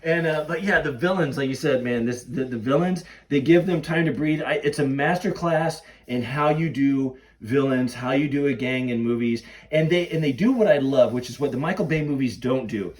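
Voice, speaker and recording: A man hosting a podcast in an excitable casual fan chat, repeating and rephrasing himself as he goes. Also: medium pitch, normal speed, hardly any pauses, distant mic. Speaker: podcast host.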